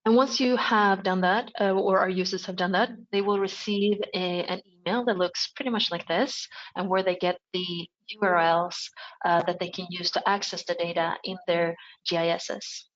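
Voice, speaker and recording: A woman's voice: lowish voice, steady tone, moderate pace. Woman on lo-fi webinar audio. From Sweden. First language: Swedish. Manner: explanatory, with frequent fillers and self-corrections. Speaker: project presenter. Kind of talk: webinar screen-share walkthrough.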